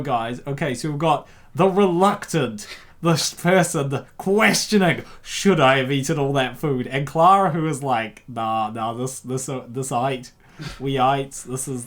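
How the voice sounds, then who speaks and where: A mid-pitched voice hosting and narrating a comic dub. The delivery moves between storybook-style narration and casual, jokey asides that tend to ramble. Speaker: host, narrator and comic dubber.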